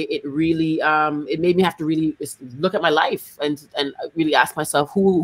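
A middle-aged woman from Canada, chatting emphatically as she hosts a music workshop over a video call from a home room. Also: lowish voice. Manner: chatty and emphatic, talks with her hands. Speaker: singer hosting a music workshop. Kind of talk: casual video-call chat. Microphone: studio microphone on a video call.

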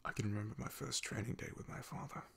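A man playing a loyal, shy, soft-spoken knight in an ASMR fantasy roleplay, in a hushed, breathy, gravelly voice with a hesitant, halting manner. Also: fairly low pitch, steady tone, exaggerated voice. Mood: wistful, reflective.

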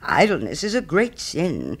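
A man's voice: fairly high pitch, wildly swooping pitch, measured pace, fairly bright tone, breathy edge, heavily acted. A man voicing a smug, selfish miller who preaches friendship in a pompous, moralizing way, as a storybook character. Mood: scolding. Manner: pompous, self-righteous, moralizing.

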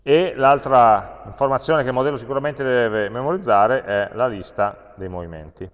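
An Italian man teaching a programming class, thinking aloud as he types code, with frequent pauses and fillers. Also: medium pitch. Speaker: university lecturer. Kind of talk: live-coding programming lesson. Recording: dull lo-fi lecture capture with long hall reverb.

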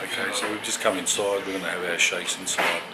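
A man's voice: low voice, brisk. Chatty middle-aged man vlogging. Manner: relaxed, chatty, recommending food and places. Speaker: travel vlogger. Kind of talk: casual travel vlog. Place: cafe, street and hotel lobby. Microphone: handheld camera at arm's length. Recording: loud street and room noise.

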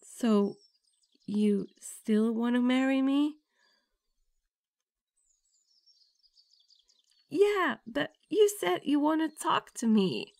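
A woman's voice: high-pitched, animated, slow, a little mellow, no room sound, full character voice. A woman playing a nervous, affectionate young bride-to-be in an ASMR girlfriend roleplay, with a tender, intimate delivery. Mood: anxious. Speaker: roleplay audio performer.

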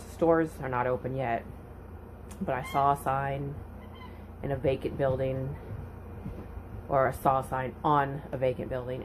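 A woman's voice: very deep voice, background noise. A woman in her thirties from California, chatting casually to her phone camera, first in a parked truck and then at home, sighing and grumbling. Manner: slow, sighing, grumbling complaints. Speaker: vlogger. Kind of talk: casual vlog chat. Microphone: phone at arm's length.

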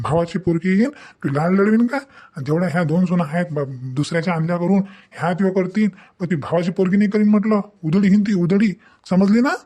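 A man's voice: a little high, mellow, natural voice. A man voicing an angry, scolding father in a cartoon family comedy, repeating phrases for emphasis in a rural Varhadi Marathi accent. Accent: Varhadi Marathi from Vidarbha, Maharashtra.

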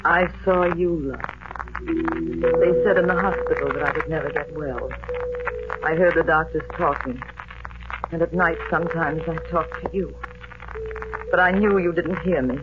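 An actress playing a devoted, yearning lost beloved in a 1940s radio drama monologue. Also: female voice, low voice, fairly even intonation, a little slow, long pauses, over loud music. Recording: noisy, dull 1940s radio recording.

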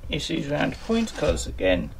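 A man with a sore, croaky throat talks his way through a job in a small room, his voice gravelly and bouncing up and down in pitch. He chats casually, trailing off with ums and laughing at himself. A steady hiss and clicking sit under the home camera recording. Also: normal speed. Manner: chatty, self-deprecating, trailing off with ums. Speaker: model railway hobbyist vlogger. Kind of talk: casual narration while working. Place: indoors in a small room, bedroom layout.

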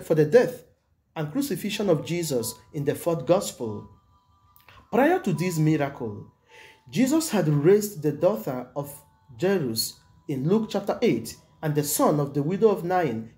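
Mellow-voiced priest with lively intonation. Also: male voice, a little high. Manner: measured, solemn, formal delivery. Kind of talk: scripted homily. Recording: music behind the voice. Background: background music.

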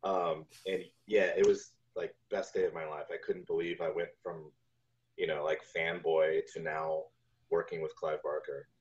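Deep-voiced man telling stories, dull audio. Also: little pitch movement, normal speed. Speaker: guest, video game composer. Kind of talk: casual interview storytelling. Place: home room on a video call. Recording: dull lo-fi video call audio.

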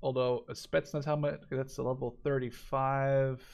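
A man's voice: medium pitch, level tone, moderate pace, gravelly, darkish tone. A man in his twenties giving casual let's play commentary, rambling and repeating himself, with casual swearing. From Netherlands. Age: 20s. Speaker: gaming video host.

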